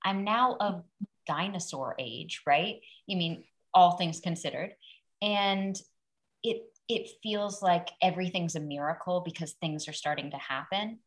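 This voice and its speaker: A woman's voice: on the low side, a little slow. A woman in her thirties, a guest actor on a podcast, telling stories in casual chat, acting out quotes and laughing at herself. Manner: animated storyteller who acts out quotes and laughs at herself.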